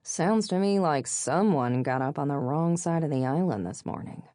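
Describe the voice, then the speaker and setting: A woman's voice: low-pitched, lively intonation, normal speed, dark tone, no room sound, studio quality. A woman voicing a sassy, teasing young woman in an audiobook, speaking in a punchy, sarcastic, informal way. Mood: wry. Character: sassy, playful young woman, blunt and teasing best friend.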